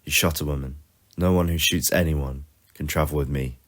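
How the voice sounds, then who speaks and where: A man voicing a troubled, moral time-travelling adventurer who is quick to anger, speaking emphatically in a fan audio drama. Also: extremely low pitch, steady tone. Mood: angry. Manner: emphatic, indignant.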